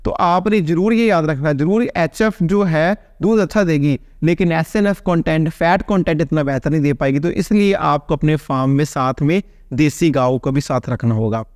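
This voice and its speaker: A man's voice: a little high, moderate pace, few pauses, a little mellow, dry recording, studio quality. A man in his thirties, a veterinarian, explaining to camera in a casual, conversational way and often checking in with "right?". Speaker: veterinarian answering viewer questions. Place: small plain indoor room, seated.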